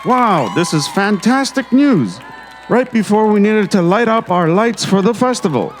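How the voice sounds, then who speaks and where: A man's voice: high-pitched, big pitch swings, unhurried, a faint crowd, a little hiss or hum. A man playing a pompous, condescending small-town mayor in an audio drama. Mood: gushing. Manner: smooth-talking, condescending. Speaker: voice actor.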